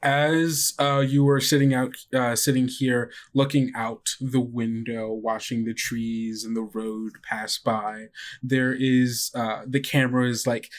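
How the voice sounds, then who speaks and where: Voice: mid-range voice, little pitch movement, takes it slowly. A game master and podcast host improvising descriptive narration for a tabletop roleplaying game in a conversational way.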